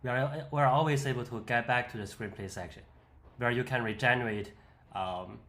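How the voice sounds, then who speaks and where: A man giving an explanatory, step-by-step voice-over for a software demonstration. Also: mid-range voice, steady tone, a little fast. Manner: step-by-step, instructional. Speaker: software tutorial presenter. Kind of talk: explanatory screen-demo voice-over.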